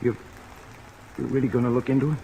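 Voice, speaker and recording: An American actor playing a wary, bewildered sailor held in jail, speaking plainly and hesitantly in an old radio drama. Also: male voice, mid-range voice, fast, natural voice, low-quality recording. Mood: subdued, grateful. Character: bewildered, wary American seaman jailed for murder. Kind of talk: 1950s radio drama acting. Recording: old radio recording with rain noise. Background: steady rain.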